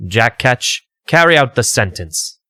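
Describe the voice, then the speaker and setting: A man's voice: mid-range voice, very animated, measured pace, pushed and bright, dry recording, clean studio sound. An actor playing a stern, formal male judge in a comic dub. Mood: grave, commanding. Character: stern, formal judge pronouncing a death sentence.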